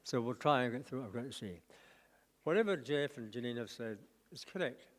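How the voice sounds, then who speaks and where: A man's voice: mid-range voice, animated, a little fast. A deaf man with a Scottish accent making a blunt, emphatic statement to a council. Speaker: neighbouring resident. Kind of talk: brief public statement. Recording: long reverb in a hall.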